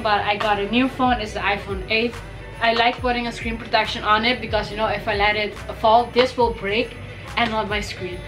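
Chatty young woman with a cold. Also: a little high. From Caribbean. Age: young adult. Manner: chatty and upbeat, gushing. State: has a cold, voice may crack. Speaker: fashion vlogger. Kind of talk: casual shopping haul vlog. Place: bedroom, indoors.

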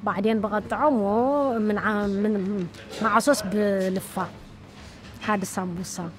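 A woman's voice: mid-range voice, fairly even intonation, fast. A woman informally explaining cooking step by step, listing things in plain short phrases.

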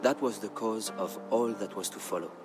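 Low-pitched male storyteller over music. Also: moderate pace. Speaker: narrator. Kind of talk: dramatic scripted storytelling narration. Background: background music.

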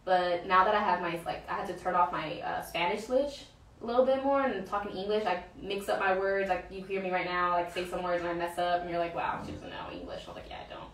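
A woman's voice: on the low side, expressive, brisk, fairly bright tone. A young woman talking casually as an interview guest, full of fillers and searching for words. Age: young adult. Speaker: guest, pro soccer player. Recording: roomy, distant mic, faint ambience.

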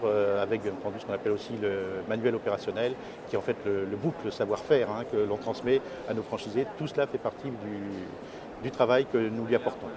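Hesitant man over clear background noise. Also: fairly low pitch. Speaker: company executive being interviewed. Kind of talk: interview answers. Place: trade fair interview stand, indoors. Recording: clearly noisy exhibition hall ambience.

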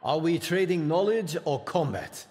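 Animated, defiant heroic fighter. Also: male voice, on the high side, normal speed. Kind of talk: video game character acting.

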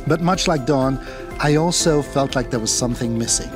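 A man's voice: medium pitch, moderate pace. A man in his thirties, born in Seychelles and raised in Kenya, co-founder of a company, talking easily to camera outdoors in a garden. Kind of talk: casual presentation to camera. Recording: music playing behind.

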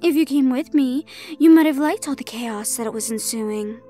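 A woman's voice: high voice, moderate pace, mellow, faint music behind, close to an everyday voice. A woman playing a teasing, chaos-loving villainous fae in an audio roleplay drama, with a theatrical drawl. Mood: gleeful.

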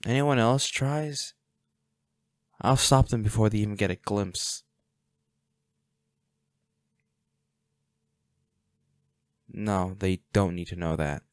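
A man playing a protective, defiant hunter boyfriend in an intimate roleplay audio drama, speaking unhurriedly with sighs and pauses. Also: fairly low pitch, fairly even intonation, dry recording, lightly acted. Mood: coldly determined. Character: protective, defiant boyfriend, a monster hunter, tender but ruthless.

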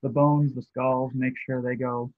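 A man's voice: medium pitch, flat delivery, unhurried, no room sound. Easygoing young man on a tinny call. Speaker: guest, shop co-owner. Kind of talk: casual video call interview. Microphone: shared video call. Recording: tinny phone-like call audio.

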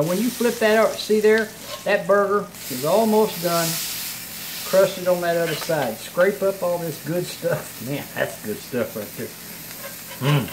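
A man's voice: a little high, background noise. Folksy man cooking over sizzle. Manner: folksy, chatty, talks while cooking. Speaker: home cooking show host. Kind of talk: casual cooking demonstration. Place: home kitchen countertop. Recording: sizzling frying pan behind.

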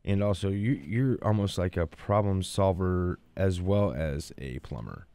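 Low, mellow-voiced radio host. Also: male voice, expressive, unhurried, no echo. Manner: easygoing, prompts and affirms, many "you know"s. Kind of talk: casual radio interview. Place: radio studio.

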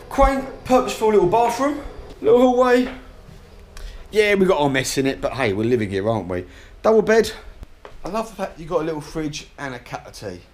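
A man in his forties giving a chatty, down-to-earth travel vlog, filmed on an action camera held at arm's length outdoors. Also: sits high. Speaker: motorcycle vlogger. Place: outdoors, hotel grounds and ferry deck. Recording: outdoor camera with clear background noise.

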